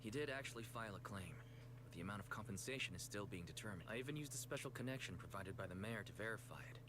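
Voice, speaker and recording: Man acting a fast-talking diligent investigator. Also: on the low side, animated, background noise, natural voice. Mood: businesslike. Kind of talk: video game voice acting.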